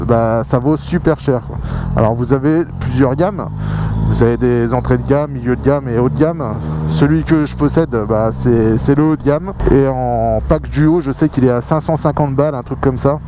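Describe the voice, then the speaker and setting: A man's voice: mid-range voice, normal speed. A man chatting informally and slangily to viewers as a motorcycle vlogger, recorded on a mic inside his helmet. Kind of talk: casual chat while riding, talking to viewers. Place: outdoors riding a motorcycle on the road. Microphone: action camera microphone inside a helmet. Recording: loud road and vehicle noise. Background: vehicle and road noise.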